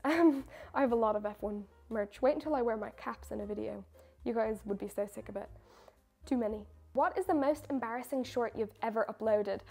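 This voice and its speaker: A woman's voice: fairly high pitch, gravelly. A young woman, a baker, answering viewer questions in a chatty, self-mocking way. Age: young adult. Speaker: baker and video creator. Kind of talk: casual question-and-answer chat.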